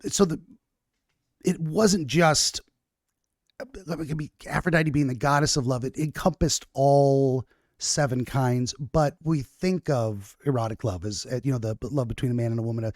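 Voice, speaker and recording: A man's voice: mid-range voice, darkish tone, no room sound, studio quality. A man hosting a podcast, talking in a rambling way with many restarts and self-corrections. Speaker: podcast host. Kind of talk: casual conversational interview.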